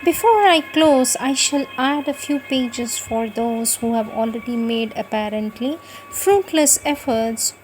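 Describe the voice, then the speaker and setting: A woman reading devotional texts aloud in a measured, solemn and deliberate way. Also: on the high side, a little mellow, low-quality recording. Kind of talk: slow devotional scripted reading.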